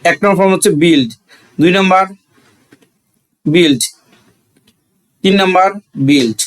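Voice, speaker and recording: A man's voice: high voice, measured pace, poor audio. A man with a Bangladeshi accent teaching a grammar lesson, asking questions and answering them himself, repeating phrases for emphasis.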